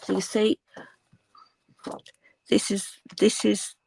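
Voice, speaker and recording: Older woman, croaky gravelly voice, slow rambling craft chat, faint background hiss. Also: medium pitch, little pitch movement, a little mellow, poor audio. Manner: slow, rambling, many ums and restarts, drops to a whisper while working. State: croaky from cold weather. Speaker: crafting channel host. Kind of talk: casual craft tutorial chat.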